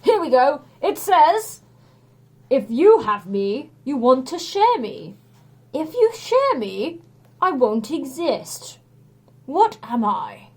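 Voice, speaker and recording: Woman voicing a bombastic, high-pitched storybook hero. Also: sing-song, slow, exaggerated voice. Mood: declaiming. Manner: bombastic, dramatic, prone to wailing. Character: mighty male Greek hero, boastful, dim, childishly emotional. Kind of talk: children's storybook character voice.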